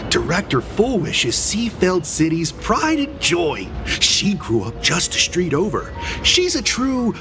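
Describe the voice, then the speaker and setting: A woman's voice: on the low side, wildly swooping pitch, measured pace, vocal fry, hardly any pauses, music in the background, heavily acted. A woman voicing a chatty soda vendor and proud local in a video game, theatrical and effusive. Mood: proud, confiding.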